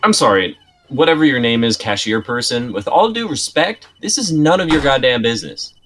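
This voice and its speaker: A man's voice: mid-range voice, expressive, fairly quick, fairly bright tone. A man playing a skeptical, reluctant sidekick in a dramatic script reading, with a dry, protesting, colloquial delivery. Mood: indignant. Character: skeptical, reluctant male sidekick of amateur sleuth.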